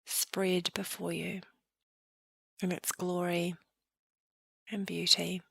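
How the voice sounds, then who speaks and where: Female meditation guide: very slow, even delivery, gentle and calm, soft phrase endings. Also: lowish voice, vocal fry, husky, dry recording.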